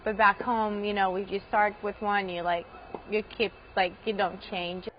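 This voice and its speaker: A woman's voice: medium pitch, little pitch movement, moderate pace. A young woman from Romania chats through interview answers, leaning on little fillers. Her voice sits mid-range. The sound is a bit dull, with a faint hiss behind it. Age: young adult. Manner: chatty, many "like" and "you know" fillers. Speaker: college tennis player.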